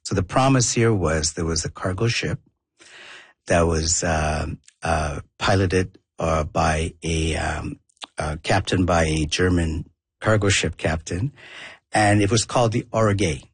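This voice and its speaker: Man, from southern India, Tamil first language, very low voice, husky, halting storyteller. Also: dry recording. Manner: halting, many fillers and restarts. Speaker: interview guest, documentary maker. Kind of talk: conversational storytelling.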